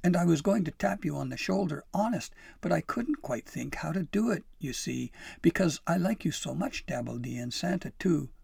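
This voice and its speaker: A man's voice: medium pitch, moderate pace, vocal fry, a little mellow, husky, dry recording, studio quality, full character voice. A man voicing an earnest, timid young male elf in a storybook, sputtering and apologetic as he pleads. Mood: flustered pleading. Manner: sputtering, pleading, self-doubting. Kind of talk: acted storybook dialogue.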